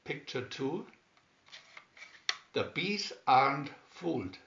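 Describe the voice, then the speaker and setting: Slow, animated male storybook reader in a roomy space. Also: a little high, vocal fry. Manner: deliberate, with emphatic swoops on key words. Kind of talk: scripted children's story narration, read aloud slowly and expressively. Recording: dull, roomy home recording.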